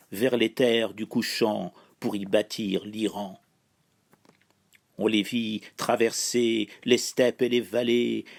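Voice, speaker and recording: A man solemnly reciting an epic poem in measured, declamatory phrases broken by pauses. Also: fairly low pitch, gravelly. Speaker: poetry reciter.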